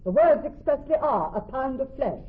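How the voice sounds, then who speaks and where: A woman playing a clever young woman posing as a male judge in classical radio drama, speaking in a measured, formal, authoritative way. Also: high voice, big pitch swings, breathy edge, heavily acted. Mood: stern, commanding. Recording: thin, narrow-band old radio broadcast.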